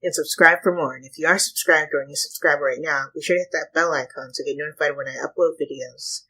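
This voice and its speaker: Husky, hesitant reaction host. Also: medium pitch, steady tone, bright, forceful tone, poor audio. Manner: hesitant, thinking aloud in short halting pieces. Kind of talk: casual reaction commentary.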